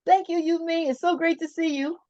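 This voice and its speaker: A woman's voice: extremely high pitch, fairly even intonation, brisk, mellow, dry recording. A woman moderating a political meeting, introducing speakers in an upbeat, emphatic way. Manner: upbeat, emphatic, keeps things moving. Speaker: event moderator. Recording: dull, dry sound.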